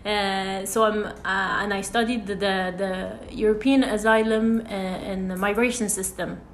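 A woman's voice: medium pitch, fairly even intonation, a little slow, a little hiss or hum. Hesitant woman host, echoey room. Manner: hesitant, many fillers and restarts. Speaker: podcast host. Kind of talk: interview conversation.

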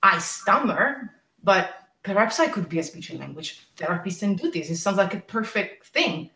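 A woman's voice: mid-range voice, very animated, normal speed, pushed and bright. A woman in her thirties, a speech therapist who stammers, talking openly and emotionally on a podcast. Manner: open, emotional, stammers at times. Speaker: guest, speech and language therapist. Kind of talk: podcast conversation. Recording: echoey room.

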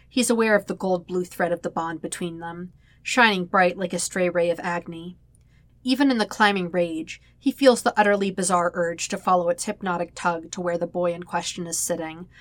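A woman's voice: on the low side, a bit bright, no room sound. Woman reading dramatic fiction, dry sound. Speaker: fan fiction narrator. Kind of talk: dramatic scripted story narration.

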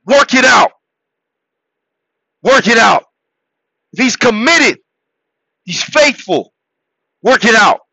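Man, born in Haiti, podcast host, preachy motivational talk, high voice, dry dull recording. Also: animated, takes it slowly, vocal fry, long pauses, slightly rough, dry recording. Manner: preachy, exhorting, swings from loud shouts to low murmurs. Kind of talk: motivational monologue.

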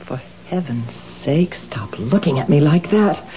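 An actress playing a nervous, hesitant, guilt-ridden wife in a 1970s radio drama. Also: female voice, sits low, expressive, measured pace, lo-fi sound. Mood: tense. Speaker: lead character.

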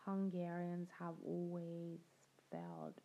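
A woman's voice: on the low side, very animated, slow. A young adult woman hosting a podcast, telling her own story in a reflective, conversational way and laughing now and then. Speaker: podcast host. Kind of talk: personal storytelling monologue. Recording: dull lo-fi sound.

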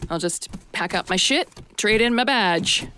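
A woman's voice: mid-range voice, lively intonation, measured pace, background noise, natural voice. An actress playing a bitter, demoted female police detective with a curt, sardonic manner. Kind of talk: audio drama acting with narration.

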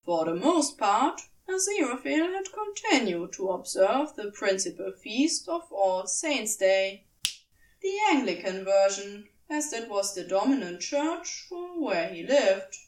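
A woman narrating scripted fiction in a measured, expressive storytelling manner. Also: on the high side, distant mic, low-quality recording. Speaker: audiobook narrator.

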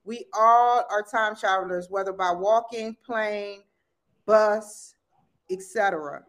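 A woman's voice: mid-range voice, takes it slowly. A woman in her thirties, a podcast guest, talking in a rambling, enthusiastic way and laughing often. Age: 30s. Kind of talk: casual chat.

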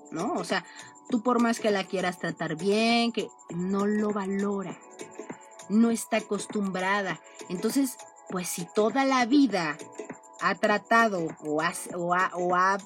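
Lady chatting on her podcast, keeps going "no?", music under her. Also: medium pitch. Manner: chatty, tags most sentences with a questioning "no?". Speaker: podcast host. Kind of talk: casual monologue.

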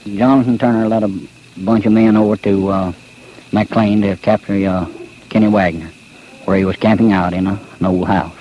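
Low-voiced Southern man, dull old recording. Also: fairly even intonation, a little hiss or hum. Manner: plain, halting. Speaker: night marshal. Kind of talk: unscripted witness recollection.

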